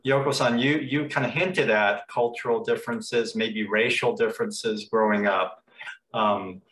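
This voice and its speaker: A man's voice: lowish voice, fairly even intonation, measured pace, a bit bright. A middle-aged man hosting a temple lecture series on a video call, posing long, rambling questions to his guests. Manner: rambling, repeats words while framing questions. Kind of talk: moderated panel questions. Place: temple hall. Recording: echoey room, mic at a distance.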